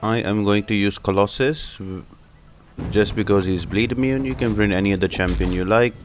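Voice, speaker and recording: A man's voice: fairly low pitch, steady tone, normal speed. A man hosting a gaming channel, giving explanatory step-by-step commentary for a video game guide. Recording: music behind the voice. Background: music.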